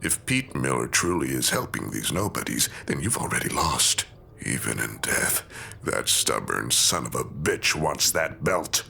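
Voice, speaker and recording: A man playing a gruff, jaded older man with a gravelly, deliberate, dry delivery in an audio drama. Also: very deep voice, big pitch swings, sharp tone, a little hiss or hum, full character voice. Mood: menacing.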